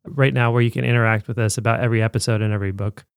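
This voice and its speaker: A man co-hosting a chatty podcast, making an upbeat pitch to listeners at a middling pitch. His voice is dry and close, with no room sound around it. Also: fairly even intonation, racing, vocal fry, clean tone, no echo. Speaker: podcast co-host. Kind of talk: casual podcast chat.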